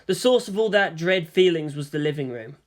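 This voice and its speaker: A woman narrates scripted fiction. Her voice sits a little low, and her intonation moves widely and expressively from phrase to phrase. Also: animated, fairly quick. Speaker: fan fiction reader and narrator.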